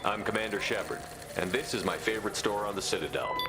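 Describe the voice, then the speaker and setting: A man's voice: lowish voice, animated, quick pace, noisy background, natural voice. A man playing a confident, wry military commander in a video game, with a direct, casual and dry way of talking. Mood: confident, persuasive.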